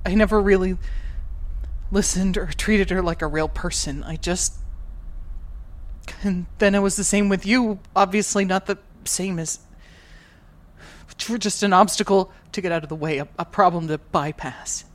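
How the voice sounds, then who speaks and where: A woman acting in an audio drama as a guilt-ridden, determined, tech-savvy moonbase worker, speaking in a halting, earnest way. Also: lowish voice, steady tone, lightly acted. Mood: guilty, confessional.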